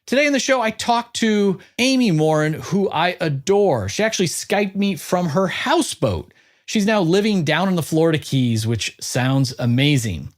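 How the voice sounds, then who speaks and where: Guy hosting a podcast, really lively up-and-down delivery, kinda high voice, punchy and upbeat. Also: moderate pace. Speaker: podcast host and interviewer. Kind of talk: upbeat podcast presenting and interviewing.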